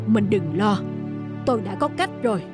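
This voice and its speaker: A woman voicing a scheming, cowardly village man in an animated folktale, theatrical and pleading. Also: mid-range voice, moderate pace, close to an everyday voice. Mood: sly pleading. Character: scheming, cowardly village man betraying his sworn brother. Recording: music behind the dialogue.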